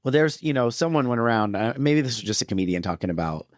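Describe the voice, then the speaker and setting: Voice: mid-range voice, expressive, fast talker, dry recording. Casual podcast co-host in a dry recording. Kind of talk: casual movie discussion chat.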